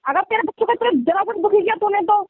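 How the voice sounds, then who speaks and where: Very high-pitched scolding woman on phone. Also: quick pace, no room sound. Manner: foul-mouthed, threatening, scolding. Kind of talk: heated argument on a phone call. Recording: tinny, narrow-band phone call recording.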